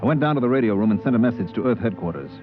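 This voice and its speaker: An actor playing a decent, wary spaceship purser, telling and acting the story in 1950s radio drama style. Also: male voice, mid-range voice, lively intonation, racing, close to an everyday voice. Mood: reflective. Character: plain-spoken male spaceship purser, wary, decent, reflective. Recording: narrow-band old radio with music.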